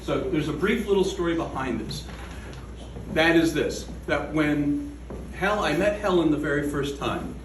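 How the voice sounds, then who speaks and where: A man reading his own poem aloud at a gallery event, slow and measured, with falling line endings. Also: on the high side, expressive, background noise. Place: art gallery room. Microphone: away from the microphone. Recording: echoey room from a distance.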